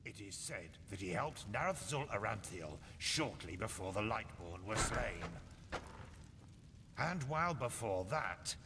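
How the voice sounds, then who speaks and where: An actor playing a scornful officer of a holy order in a fantasy game, formal and pointed in delivery. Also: male voice, very animated, a little slow. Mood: disdainful. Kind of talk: cinematic fantasy game voice acting. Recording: reverberant hall, faint rumbling noise.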